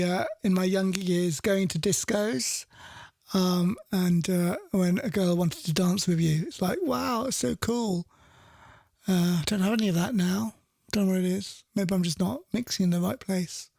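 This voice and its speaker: A man's voice: sits high, creaky, a little mellow, dry recording, clean studio sound. A middle-aged man presenting a radio show in casual, rambling live chat, often repeating his phrases.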